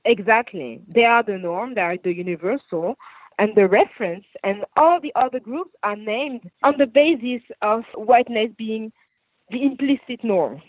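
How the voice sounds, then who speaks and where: A woman's voice: a little high, moderate pace, no echo. French-accented woman on a tinny phone line. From France. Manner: earnest, explanatory, rephrases as she goes. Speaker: guest writer and activist. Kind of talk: radio interview answers.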